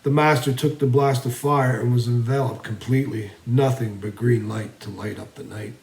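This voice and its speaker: Man, fantasy story narration, theatrical delivery, emphatic to hushed, medium pitch, echoey room. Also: steady tone, moderate pace, gravelly. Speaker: storyteller reading own fantasy series. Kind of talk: dramatic fantasy audiobook narration. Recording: roomy, echoing voice recording.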